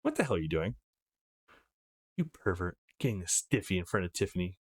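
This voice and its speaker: A man's voice: low-pitched, very animated, brisk, breathy edge, pitched below the performer's own voice, exaggerated voice. Man putting on a teasing, swooping young woman. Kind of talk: visual novel character reading.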